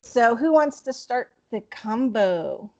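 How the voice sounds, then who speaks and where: A woman's voice: a little high, animated, slow pace, a little mellow, lo-fi sound. A woman hosting a group video call, chatting casually and rambling with many fillers. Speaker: host.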